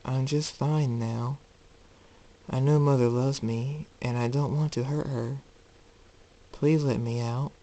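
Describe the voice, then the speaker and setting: A man's voice: medium pitch, steady tone, takes it slowly, dark tone. A man voicing a grown pony son who is earnest, emotional and pleading.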